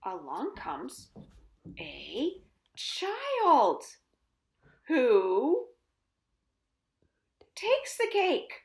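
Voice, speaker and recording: A woman reading picture books aloud to young children at home, very expressively, with drawn-out words. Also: high voice, gravelly. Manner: expressive, with drawn-out words, sound effects and gasps. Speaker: children's storytime reader. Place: indoors at home. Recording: roomy, echoing home recording.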